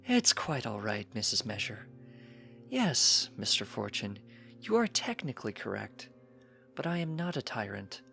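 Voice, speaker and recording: A man voicing a gracious, gently amused pony princess in a storybook, calm, formal and measured. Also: on the low side, creaky, faint music behind, full character voice.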